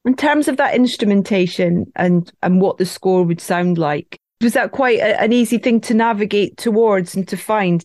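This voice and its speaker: A woman's voice: mid-range voice, a little mellow, dry recording, studio quality. A woman hosting a podcast interview, asking long, wandering questions in a casual way. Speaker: podcast host.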